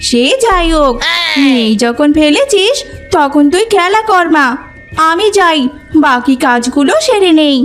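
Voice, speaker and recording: A woman's voice: high voice, lively intonation, measured pace, faint music behind. A woman playing a caring, fretful mother tigress in a cartoon, with a motherly, questioning, scolding manner. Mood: concerned. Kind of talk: cartoon voice acting.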